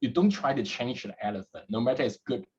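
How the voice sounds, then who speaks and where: A man from Hong Kong, a podcast guest, who answers in a halting way and repeats words as he gathers his thoughts. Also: mid-range voice, expressive, darkish tone, lo-fi sound, a little hiss or hum. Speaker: guest, head of data at a startup.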